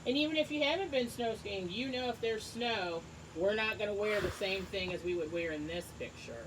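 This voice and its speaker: A woman teacher reading a book aloud to young children, encouraging them and pausing to ask questions and explain things simply. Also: mid-range voice, background noise. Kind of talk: read-aloud lesson with asides and questions to children.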